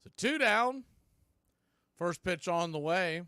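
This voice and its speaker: A man calling live baseball play-by-play in an excitable, folksy radio style. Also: sits high, slow pace, clear, resonant tone. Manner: excitable, folksy exclamations on big plays.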